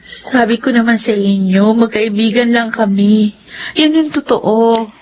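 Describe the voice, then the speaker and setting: An actress playing a meek, earnest young daughter in a Filipino radio drama, respectful and pleading. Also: female voice, fairly high pitch, fairly even intonation, measured pace. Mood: meek, guarded. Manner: soft-hearted, pleading, respectful. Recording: dull lo-fi radio sound.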